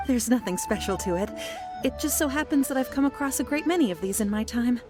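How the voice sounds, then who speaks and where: An actress playing a knowledgeable, composed gem expert in an anime-style game, formal and explanatory. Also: female voice, fairly high pitch, lively intonation, quick pace, close to an everyday voice. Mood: matter-of-fact. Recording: faint music behind the voice.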